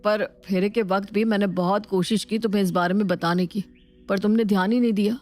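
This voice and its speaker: A man's voice: high-pitched, steady tone, fairly quick, a little mellow, lightly acted. Earnest young husband, acted by a man, explaining himself. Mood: earnest confessing. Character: earnest young Indian husband confessing, defensive. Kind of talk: scripted Hindi family story dialogue.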